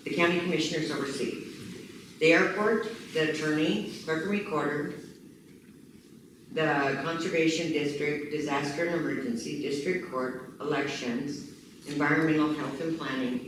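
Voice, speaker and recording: A woman running for county commissioner, speaking energetically and emphatically at a community meeting. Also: sits low. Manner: energetic, emphatic, lists things rapidly. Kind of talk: campaign talk at a community meeting. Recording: echoey room, distant mic, background noise.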